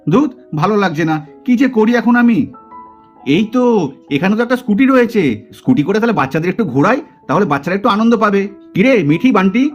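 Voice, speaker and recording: A man acts out a cocky young uncle in a doll cartoon. He talks very fast, grumbling and teasing with sarcastic bluster, over faint music. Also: high-pitched, lively intonation, highs cut off. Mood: bored. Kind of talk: exaggerated Bengali puppet cartoon acting.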